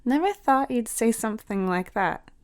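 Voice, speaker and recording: A woman's voice: on the high side, expressive, normal speed, voice pushed up. A woman voicing a girlish, mischievous big sister in a storybook, teasing and encouraging with a casual manner.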